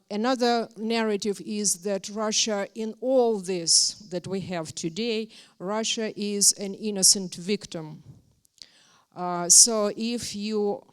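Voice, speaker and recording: A woman's voice: medium pitch, clear, resonant tone. A woman from Ukraine, speaking as a guest at a university talk in an earnest, emphatic way. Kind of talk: university lecture.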